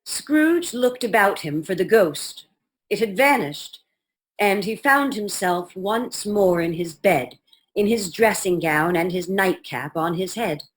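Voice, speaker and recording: A woman narrating a radio play in a measured, dramatic storytelling manner. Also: medium pitch, lively intonation.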